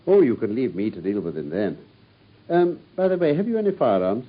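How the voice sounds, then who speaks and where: A man's voice: fairly low pitch, wildly swooping pitch, fairly quick. An actor playing a brilliant, confident male detective in an old radio drama. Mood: assured. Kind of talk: 1950s BBC radio drama acting. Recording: dull lo-fi old radio recording.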